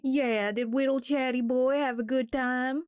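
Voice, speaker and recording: Woman as a high-voiced teasing young sister. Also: fairly even intonation, unhurried, clear, resonant tone, dry recording, studio quality. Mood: playful, teasing. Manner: casual, teasing.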